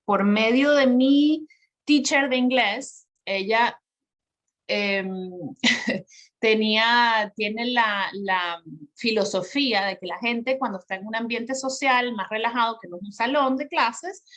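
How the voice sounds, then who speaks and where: A woman's voice: fairly high pitch, slow, fairly bright tone. A woman from Venezuela with a Venezuelan accent, a podcast guest telling her life story in a chatty way, laughing often and using many fillers. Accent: Venezuelan Spanish.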